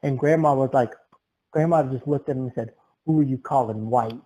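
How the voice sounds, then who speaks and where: A man's voice: medium pitch, brisk, mellow, poor audio. A young man hosting a podcast, telling exaggerated comic stories. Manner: exaggerated tall-tale telling. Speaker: podcast host. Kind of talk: comic storytelling.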